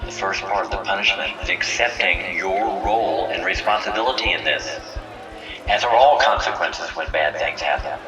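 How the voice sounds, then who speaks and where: Man acting a deep-voiced, solemn community leader. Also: roomy sound, far from the mic, over music, natural voice, poor audio. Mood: stern, coaxing. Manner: measured, preachy, commanding oratory. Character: stern, solemn village leader presiding over a memorial and judgment. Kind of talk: formal speech in an audio drama.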